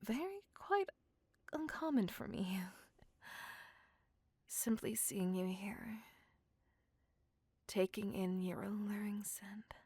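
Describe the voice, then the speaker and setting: A woman plays a courtly, possessive female vampire smitten with a human. She speaks very slowly in a breathy, formal whisper with a gravelly creak. It is an intimate ASMR roleplay, recorded close and dry. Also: mid-range voice, a little mellow, no echo. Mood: hungry, restrained. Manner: formal old-fashioned wording, slow and breathy.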